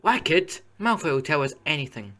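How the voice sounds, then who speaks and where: A man playing an excitable, blunt schoolboy wizard in an audio play. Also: on the high side, moderate pace, heavily acted. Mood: incredulous, eager. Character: brash, excitable schoolboy wizard, loyal sidekick.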